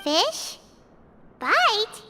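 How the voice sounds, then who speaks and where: A woman voicing a tiny, childlike female fairy companion in a video game, excitable and blunt, with a squeaky, exclamatory delivery. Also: very slow, noisy background, heavily acted. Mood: confused, curious. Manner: speaks of self in third person, exclamatory. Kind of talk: scripted game dialogue. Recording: prominent music under the dialogue.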